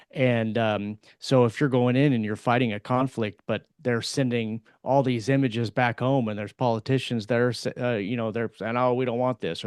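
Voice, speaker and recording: Man, podcast co-host, casual discussion, medium pitch, dry recording. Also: clean tone, dry recording.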